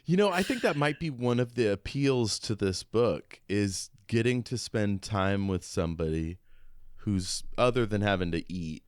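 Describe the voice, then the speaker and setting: A man with a deep voice speaks slowly in a very dry, close recording. He co-hosts a casual book podcast, reflecting informally as he goes. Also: lively intonation, smooth, no echo. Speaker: podcast co-host. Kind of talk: casual book discussion chat.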